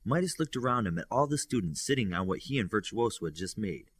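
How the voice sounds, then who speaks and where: Man, audiobook narrator, scripted fiction reading, slightly raspy, expressive intonation. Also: lowish voice, fast talker. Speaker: audiobook reader. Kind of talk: scripted narration of a superhero novel.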